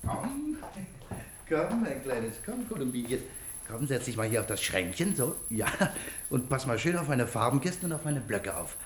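Man acting a genial painter, mid-pitched, lively intonation, informal, coaxing, faint noise behind. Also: moderate pace, natural voice. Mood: fond, coaxing. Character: genial male painter charmed by a pet monkey. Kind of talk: German radio play acting.

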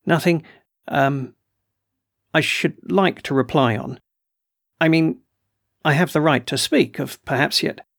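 A man reading aloud and voicing an excitable, blustering military colonel with emphatic outbursts. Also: a little high, measured pace, vocal fry, long pauses, dry recording, clean studio sound, exaggerated voice. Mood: agitated. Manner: agitated, emphatic, snorting outbursts. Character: blustering excitable middle-aged male colonel.

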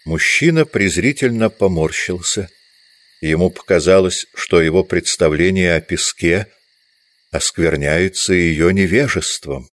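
A man's voice: low voice, a little slow, fairly bright tone, dry recording. A man narrating a literary audio drama from a script.

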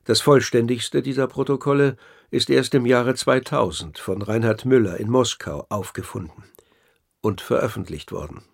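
A man's voice: medium pitch, normal speed, vocal fry, slightly rough. A man narrating a scripted radio documentary drama in a formal, probing manner, as narrator and interviewer.

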